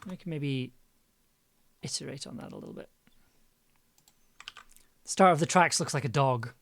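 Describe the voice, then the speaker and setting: A woman chatting casually on a livestream while she edits audio, with an expressive, giggly and effusive manner. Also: very deep voice, moderate pace. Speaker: audio drama producer and livestream host.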